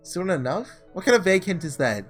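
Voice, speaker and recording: A man reads as a hot-headed schoolboy hero of a visual novel, bewildered and indignant. His fairly high voice jumps around in pitch over background music. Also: very animated, fairly quick. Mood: bewildered, demanding. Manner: exclamatory, indignant.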